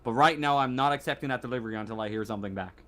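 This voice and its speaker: A man playing a gruff, dismissive older businessman in a tabletop roleplaying game. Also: mid-range voice, racing, sharp tone, lightly acted. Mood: irritated, dismissive. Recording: voice chat with faint noise.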